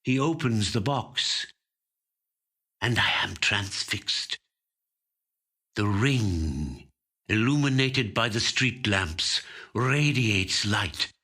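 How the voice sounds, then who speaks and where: A man reading a literary short story as a trained actor, with measured, deliberate, dramatic phrasing into a studio microphone. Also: on the low side, lively intonation, sharp tone. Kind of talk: scripted literary narration.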